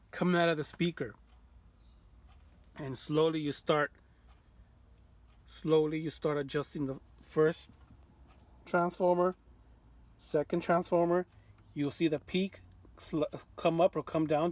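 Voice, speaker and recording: A man's voice: fairly high pitch, darkish tone, no room sound. A man giving an informal hands-on tutorial as a hobbyist, often repeating phrases as he explains. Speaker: radio repair hobbyist demonstrating.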